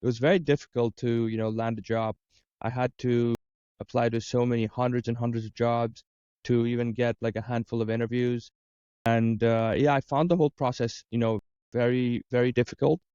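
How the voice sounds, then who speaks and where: A man's voice: mid-range voice, little pitch movement, fairly quick, dark tone, clean tone, no echo, highs cut off. A man from Dubai answering interview questions as a career coach, talking conversationally and explaining with lists of examples. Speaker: interview guest, career coach and business owner.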